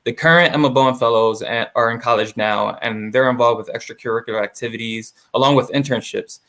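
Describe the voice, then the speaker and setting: A man's voice: mid-range voice, fairly quick, fairly bright tone. A young adult man interviewing a guest, reading a scripted introduction and then asking friendly questions with casual fillers. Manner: friendly, uses fillers like "awesome" and "like". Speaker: interviewer, associate producer.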